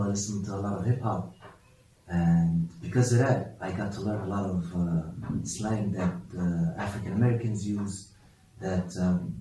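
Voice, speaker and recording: Young guy, arabic first language, low dark voice, lots of stops and restarts, far off in a boomy room. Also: a little hiss or hum. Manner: hesitant, many restarts and fillers. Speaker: interviewee. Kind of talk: casual interview answers. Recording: echoey room from a distance.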